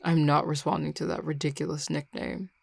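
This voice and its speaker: A woman's voice: low voice, little pitch movement, a little fast, gravelly, slightly rough, no echo, full character voice. A woman playing a grumpy young male coworker in an audio roleplay, curt and sarcastic with exasperated delivery. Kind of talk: scripted audio roleplay acting.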